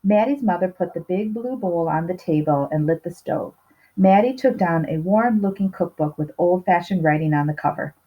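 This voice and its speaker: A woman's voice: fairly low pitch, expressive, moderate pace. A woman reading a children's storybook aloud expressively, with little asides to the listeners. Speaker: storybook reader. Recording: slightly dull, lo-fi sound.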